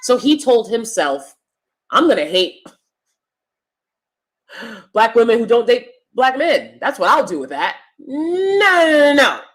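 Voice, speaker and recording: A woman with a loud, projecting stage voice, giving emphatic opinion commentary as a vlogger and swinging between loud points and soft asides. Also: on the high side, very animated, slow pace, long pauses.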